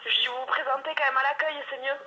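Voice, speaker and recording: A woman's voice: high-pitched, steady tone, fast talker, bright, forceful tone. A woman with a French accent answering the phone as a store clerk, polite, puzzled and dryly ironic. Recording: noisy phone line.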